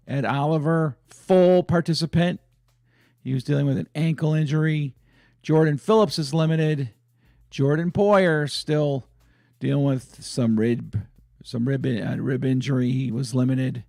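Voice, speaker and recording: Hoarse, gravelly-voiced man. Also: mid-range voice, no room sound, studio quality. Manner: chatty, excitable fan talk. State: hoarse, raspy voice. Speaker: sports fan channel host. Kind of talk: casual fan vlog chat.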